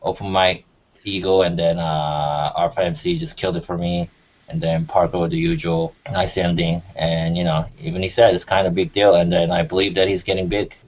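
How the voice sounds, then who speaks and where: Low-pitched man, slightly muffled sound. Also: little pitch movement, moderate pace. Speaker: music talk show panelist. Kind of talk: casual opinionated chat. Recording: muffled audio with faint noise.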